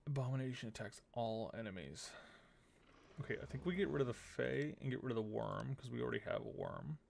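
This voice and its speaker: Gravelly-voiced man streaming a game. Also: medium pitch, animated, brisk, a little mellow, a little hiss or hum. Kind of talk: casual gameplay commentary, thinking aloud. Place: small room at home, indoors. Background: game music.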